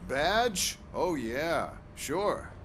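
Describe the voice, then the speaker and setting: A man's voice: on the high side, sing-song, extremely slow, noisy background, exaggerated voice. A man playing a smug, manipulative boss in a video game, talking in a condescending way to a recruit. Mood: smug, scheming.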